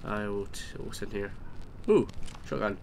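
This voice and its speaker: A man's voice: fairly low pitch, a little slow, a little mellow, a little hiss or hum. A man with a stuffy nose giving rambling, chatty commentary while playing a video game, exclaiming at what happens. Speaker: let's play host. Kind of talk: casual gameplay commentary.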